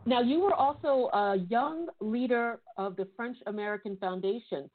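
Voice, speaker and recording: Female interview host, high-pitched and casual, heard through a narrow phone-like channel. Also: moderate pace. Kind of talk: casual radio-style interview.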